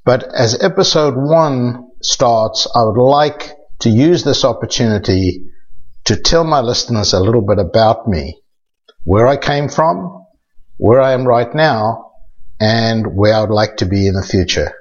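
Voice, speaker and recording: An older man with a South African accent, a golf instructor hosting his own podcast in a measured, scripted way. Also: mid-range voice, expressive, dull audio.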